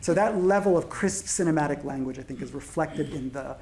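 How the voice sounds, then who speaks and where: Male panelist in his 40s, somewhat high voice with gravel, halting delivery with lots of fillers. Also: a little mellow. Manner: halting with many fillers and restarts. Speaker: panelist translator. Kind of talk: panel discussion.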